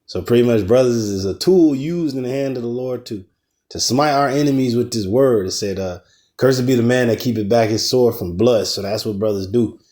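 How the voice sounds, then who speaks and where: A man's voice: mid-range voice, moderate pace, a little mellow. Man preaching loosely with street slang. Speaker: teacher in a religious ministry. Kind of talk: casual scripture lesson.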